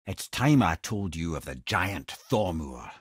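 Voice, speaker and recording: An actor playing a witty, learned old advisor in a video game, with a Scottish accent, a raspy voice and a wry, eloquent way of telling tales. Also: male voice, low voice, very animated, a little slow, no echo, clean studio sound, heavily acted. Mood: storytelling. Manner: wry, eloquent, colloquial raconteur. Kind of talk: video game character dialogue and storytelling.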